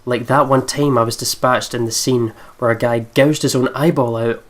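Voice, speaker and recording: A man's voice: mid-range voice, steady tone, moderate pace. A man reading a visual novel aloud as a let's player, with sweary, joking asides. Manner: sweary, jokey.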